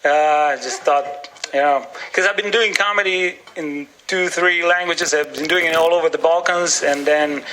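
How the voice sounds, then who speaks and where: A man from Slovenia, a stand-up comedian talking on a link from a small radio studio, easygoing and deadpan. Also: fairly high pitch, normal speed, few pauses, slight background noise, poor audio. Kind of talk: interview over a studio link.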